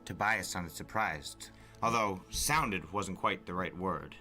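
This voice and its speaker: A man reading a story aloud as narrator, with expressive, suspenseful, dramatic audiobook-style delivery. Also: sits low, unhurried. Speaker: narrator and reader. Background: music bed.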